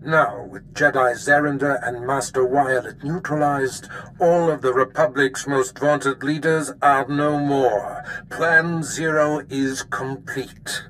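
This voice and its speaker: A scheming, gloating Sith lord in a video game. The mid-pitched voice is formal, pompous and used to giving orders, with faint noise behind it. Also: male voice, fairly even intonation, takes it slowly, a bit bright, rough recording. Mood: gloating, pleased.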